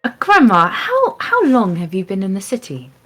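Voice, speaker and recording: A woman's voice: medium pitch, wildly swooping pitch, normal speed, fairly bright tone, slightly rough. A woman playing a tabletop game, speaking politely and a little hesitantly in character. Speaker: player.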